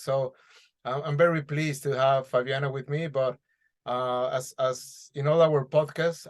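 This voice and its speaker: Guy hosting a podcast over a call, friendly intro. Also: medium pitch. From Mexico. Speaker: podcast host. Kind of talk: podcast interview.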